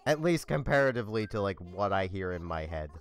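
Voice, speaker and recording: Low monotone young man. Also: fairly quick, faint music behind. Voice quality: slightly scratchy. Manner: monotone, low-key, rambling with many "like"s. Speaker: let's play host. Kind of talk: casual gameplay commentary.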